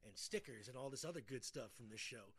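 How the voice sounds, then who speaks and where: A man's voice: medium pitch, animated, quick pace, fairly bright tone, slightly rough. A middle-aged man hosting a podcast in casual chat, rambling and often repeating his words. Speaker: podcast host.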